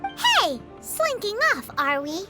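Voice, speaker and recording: A woman's voice: wildly swooping pitch, slow pace, over music, exaggerated voice. A woman voicing a tiny, perky, cheeky fairy girl companion in an anime-style video game. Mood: cheeky, miffed. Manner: refers to self in third person, squeaky, perky.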